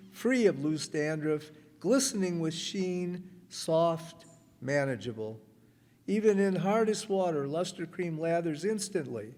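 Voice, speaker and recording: In a reverberant hall, a man plays a polished announcer in the old radio style. His voice is high for a man, and he delivers introductions and sales copy at an unhurried pace. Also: a little mellow. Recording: echoey hall stage recording.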